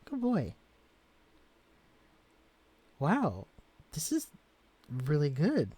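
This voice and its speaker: Man doing a gentle shrunken guy, bashful and halting, mellow dark tone, dry close sound. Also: a little high, no room sound, exaggerated voice. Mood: delighted. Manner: soft-spoken, hesitant, stammering when flustered. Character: gentle, shy, shrunken young man in love. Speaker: character in a listener-directed romance audio. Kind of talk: audio roleplay drama.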